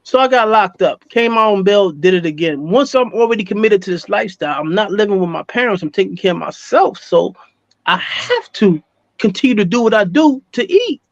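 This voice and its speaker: A high-pitched American man tells his own story as an interview guest. He talks casually in animated street slang and circles back on phrases to drive them home. The sound is close and dry, with a slightly dull, lo-fi top end. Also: a little fast, vocal fry, no room sound.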